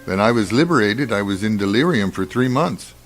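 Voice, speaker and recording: Radio drama: male actor as haunted scientist doctor, deep-set pitch, formal and detached, very varied intonation. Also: lively intonation, a little fast, soft background music. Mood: grim, detached. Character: reserved male doctor, a haunted war camp survivor.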